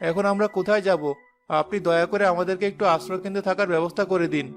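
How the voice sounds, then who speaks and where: A man's voice: high voice, steady tone, very fast, soft background music. A man voicing a desperate, humble villager in a cartoon, pleading deferentially. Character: desperate, humble, poor villager begging for shelter. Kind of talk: cartoon voice acting.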